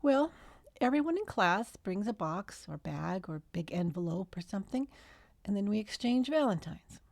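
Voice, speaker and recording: A woman's voice: mid-range voice, big pitch swings, normal speed, gravelly, darkish tone, no echo, voice pushed up. A woman voicing a skeptical, matter-of-fact schoolgirl in a storybook reading. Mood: explaining.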